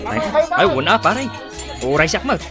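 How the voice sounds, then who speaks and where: A man's voice: fairly high pitch, big pitch swings, normal speed. A man playing a teasing drinking buddy with an Ilocano accent in a radio comedy drama. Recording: music behind the voice.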